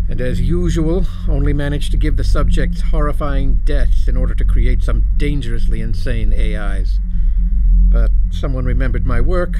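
A man playing a composed, articulate psychologist in a science fiction audio drama, with a dryly understated, measured delivery. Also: medium pitch, lightly acted, low-quality recording. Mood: calm, wry. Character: composed, melancholy-witted male psychologist and simulation expert. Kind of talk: scripted audio drama dialogue. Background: music.

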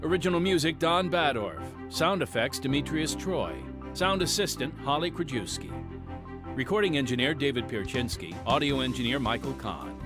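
A man's voice: medium pitch, animated, moderate pace, over music. An American man hosting an audio drama podcast, reading scripted announcements and narration as a radio announcer.

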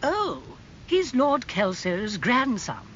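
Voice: medium pitch, huge pitch swings, takes it slowly, fairly bright tone, highs cut off, a little hiss or hum, voice pushed up, full character voice. A narrator playing a genteel, gossipy aristocratic aunt in a dramatised audiobook. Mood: surprised.

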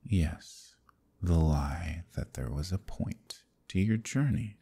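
A man voicing an arrogant, scheming Demon King who taunts and menaces in an ASMR roleplay, often dropping to a husky whisper. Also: low-pitched, expressive, slow pace, warm tone, full character voice. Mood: scornful. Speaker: voice actor.

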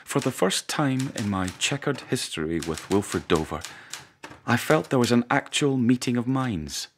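A man's voice: mid-range voice, big pitch swings, measured pace, a little hiss or hum. An actor playing a wry police sergeant narrating his own story in a radio drama.

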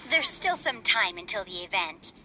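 An actress playing a gentle, contented widowed townswoman in a video game, measured and accepting in manner. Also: medium pitch, very animated, very noisy, natural voice. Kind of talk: acted video game dialogue.

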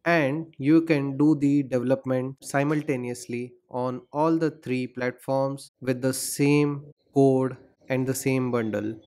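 A man's voice: mid-range voice, slow pace. Man explaining coding steps. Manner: steady, instructional, spells out commands. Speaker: coding tutorial host. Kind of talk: screen-recorded coding tutorial. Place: voice-over on screen recording.

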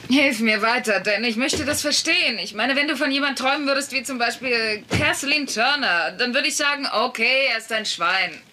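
A woman's voice: a little high, moderate pace, hardly any pauses, pushed and bright, a little hiss or hum. A woman voicing a blunt, teasing, persistent working-class wife in a dubbed sitcom, wry and probing. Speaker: voice actor in a German sitcom dub.

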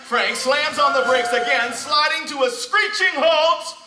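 A man's voice: very high-pitched, unhurried, very noisy. A man playing an overwrought, melodramatic narrator in an old-time radio show on stage. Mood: melodramatic urgency. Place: church stage, indoors. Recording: echoey stage from far off, loud music.

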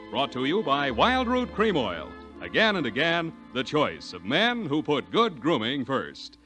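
Old time radio announcer guy, emphatic and polished, higher voice, really animated pitch. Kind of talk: 1940s radio announcing, scripted sponsor reads. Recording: 1940s radio broadcast recording.

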